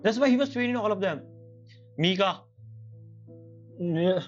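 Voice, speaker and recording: Guy streamer with a highish voice reacting to a game, slightly muffled. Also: lively intonation, unhurried. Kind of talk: casual reaction commentary on a game. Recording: dull audio over game music.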